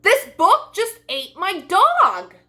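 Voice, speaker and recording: Woman as a plucky, high-voiced little girl. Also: wildly swooping pitch, takes it slowly, sharp tone, exaggerated voice. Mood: exasperated. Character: plucky, polite little girl in a picture book.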